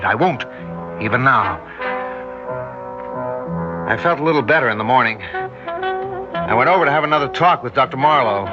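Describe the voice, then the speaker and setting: Male actor as a shaken hero narrator, mid-pitched, old muffled radio with music. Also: close to an everyday voice. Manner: tense, shaken, first-person narration. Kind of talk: 1960s radio drama acting.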